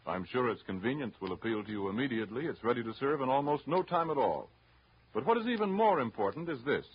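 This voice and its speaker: A man's voice: mid-range voice, husky. An American man reading a scripted 1930s radio advertisement in a polished, persuasive manner. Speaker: commercial announcer. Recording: dull, noisy 1930s radio recording.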